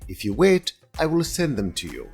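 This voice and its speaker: An actor playing a polite, exacting, condescending senior inspector in an audio drama, speaking in a formal, measured, officious manner with a husky voice. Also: male voice, mid-range voice, huge pitch swings, darkish tone, heavily acted. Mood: politely commanding. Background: music.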